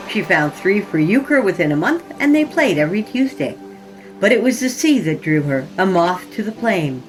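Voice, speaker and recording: A woman's voice: medium pitch, rough recording. A woman reading scripted horror fiction as a podcast narrator, in a dramatic storytelling style. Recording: music behind the voice. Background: music.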